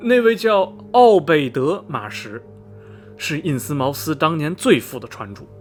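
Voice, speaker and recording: A man's voice: fairly high pitch, expressive, measured pace. A man playing a chatty middle-aged railway station veteran in a radio drama, folksy and rambling, with many sighs and interjections. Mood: gossipy, knowing. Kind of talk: Mandarin radio drama dialogue, storytelling. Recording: music behind the voice. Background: background music.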